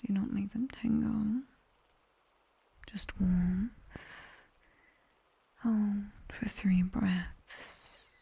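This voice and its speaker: Woman as a slow, whispering British demoness tutor. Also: medium pitch, fairly even intonation, warm tone, exaggerated voice. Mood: soothing, instructing. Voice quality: breathy whisper. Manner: slow, commanding, teasing. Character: sharp-tongued seductive female nightmare demon tutor. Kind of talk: whispered ASMR roleplay, guided body scan. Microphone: close binaural microphone.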